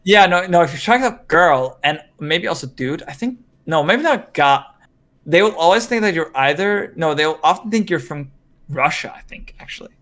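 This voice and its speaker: A man's voice: a little high, expressive, normal speed, fairly bright tone. A man from Denmark chatting casually on a livestream, rambling with many hesitations. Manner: rambling, hesitant, lots of fillers and restarts. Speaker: streamer.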